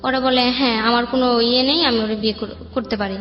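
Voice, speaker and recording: A young woman with a Bangladeshi accent and a somewhat high voice narrates her own life colloquially. The sound is slightly dull and clearly roomy, with soft music behind her. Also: fairly even intonation, brisk. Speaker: guest telling her life story. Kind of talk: personal storytelling in an interview.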